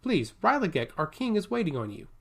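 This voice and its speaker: A man voicing a stern, shrewd older royal woman in a fantasy story, measured, formal and commanding. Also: sits high, sing-song, gravelly, voice pushed up, full character voice. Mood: calm, wry.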